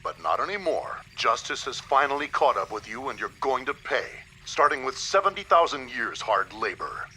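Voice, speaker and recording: A stern anime lawman with a bright, forceful voice and a lively, swinging delivery. He declaims formally and sounds utterly self-righteous. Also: mid-range voice, moderate pace, few pauses, a little hiss or hum, poor audio. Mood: stern, triumphant. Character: stern male officer arresting a fugitive, self-righteous. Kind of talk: dubbed anime acting.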